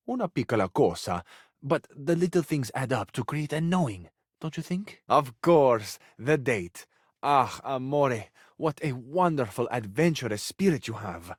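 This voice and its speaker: An actor playing a romantic Italian lover in a video game, with an Italian accent and a flowery, courtly, tenderly flirtatious manner. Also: male voice, mid-range voice, dry recording, clean studio sound. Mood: fond, hesitant, then eager, seductive. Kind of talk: video game character acting, romantic dialogue.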